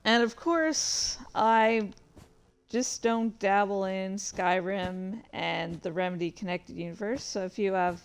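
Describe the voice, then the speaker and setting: A woman's voice: mid-range voice, slow pace, clean tone. A woman hosting a livestream, chatting casually and rambling to her viewers in a playful, easily sidetracked way. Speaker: livestream host and streamer.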